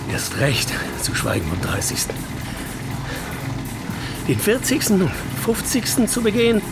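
A man's voice: high-pitched, wildly swooping pitch, unhurried, gravelly, over loud music, exaggerated voice. An actor playing a stubborn man in his fifties in naturalistic radio drama, grumbling in a mock-pompous, declamatory way.

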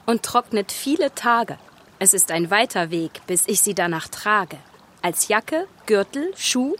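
A woman's voice: mid-range voice, animated, measured pace, fairly bright tone. A woman playing a delicate, dramatic young fairy-tale maiden in a children's radio play, girlish and plaintive. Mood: reciting.

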